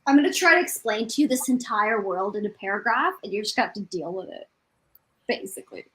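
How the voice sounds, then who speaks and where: A woman's voice: medium pitch, lively intonation, fairly quick, pushed and bright, low-quality recording. A woman chatting casually about books as a livestream co-host, exasperated and a little self-deprecating.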